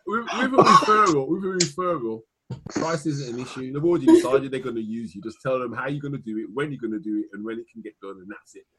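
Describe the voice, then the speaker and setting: A man's voice: mid-range voice, expressive, fast, sharp tone, slight background noise, rough recording. A man with a Welsh accent talking business in a casual video call, chatty, laughing often and dropping punchy asides. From Wales. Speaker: marketing consultant.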